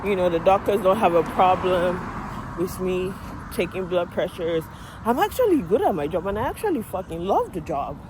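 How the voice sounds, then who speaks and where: A Nigerian woman talks into her phone as she walks down a street, her accent strong. She is animated and blunt and swears without a second thought, with clear traffic noise and cars around her. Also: fairly low pitch, normal speed. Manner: animated, outspoken, swears freely. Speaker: vlogger, medical assistant. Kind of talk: casual vlog chat while walking. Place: outdoors on a residential street. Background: cars and street ambience.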